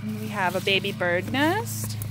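A woman's voice: mid-range voice, very animated, moderate pace, music in the background. A woman talking casually with a young child as a mother filming outdoors, asking encouraging questions and repeating the child's words back. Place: outdoor backyard garden. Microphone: handheld phone camera held by the speaker. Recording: outdoor phone recording, faint background noise.

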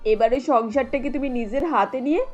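A woman's voice: high-pitched, brisk, a little mellow, lo-fi sound, faint music behind. A woman playing a kindly middle-aged housewife and mother-in-law in a cartoon, homely in manner. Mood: welcoming. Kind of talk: cartoon dialogue.

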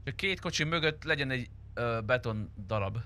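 A man chatting casually while roleplaying in a game, blunt and quick to swear. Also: medium pitch, lively intonation, pushed and bright. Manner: blunt, foul-mouthed.

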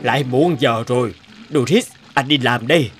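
An actor playing a weary, gruff man near sixty, a curt workaholic husband and father in an animated drama. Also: medium pitch, moderate pace, faint music behind. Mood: curt, hurried.

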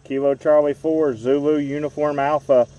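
A man's voice: on the high side, little pitch movement, dark tone, far from the mic, muffled recording. Man reading call signs outdoors. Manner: brisk, repetitive call-sign reading. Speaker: ham radio park activator. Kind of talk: rapid radio contact exchange. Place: outdoors in a park. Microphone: handheld radio microphone.

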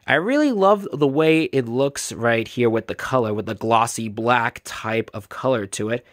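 A man's voice: medium pitch, expressive, normal speed, no echo, studio quality. A man giving a chatty show-and-tell product review, enthusiastic and fond of repeating emphatic phrases. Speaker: toy reviewer.

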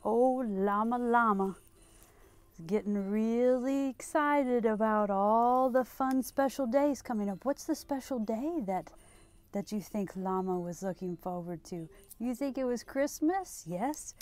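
Slow, mellow woman storytime host. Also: on the high side, dry recording. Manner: sing-song, asking and answering questions for young children. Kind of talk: children's storytime, reading and chatting.

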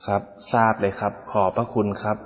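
A man making an amateur radio call in speech, deliberately repeating call signs and details in short polite exchanges. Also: on the low side, little pitch movement, in a large hall.

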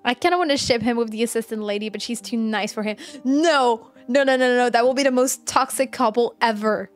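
A woman's voice: fairly high pitch, fairly quick. A woman in her twenties giving casual gaming reaction commentary, with exasperated, joking asides. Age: 20s. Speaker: streamer. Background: game dialogue and music.

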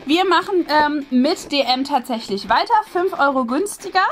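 A woman's voice: sits high, expressive, normal speed, slight background noise. A woman, hosting a casual, chatty product review. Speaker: host of an unboxing video. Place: small decorated indoor room. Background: faint music.

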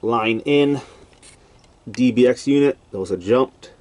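A man with a mid-pitched voice demonstrates equipment in a casual, hands-on way. He speaks in terse, clipped phrases and keeps it matter-of-fact. It is recorded at home on a handheld camera. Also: slow, darkish tone, no room sound. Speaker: gadget demonstrator. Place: indoors, cluttered home room.

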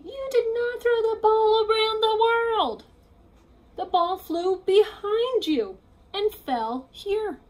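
A woman voicing an earnest, cautious, stern elephant in a children's picture book, speaking deliberately and with emphasis. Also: very high voice, a little mellow, pitched above the performer's own voice. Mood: stern correcting.

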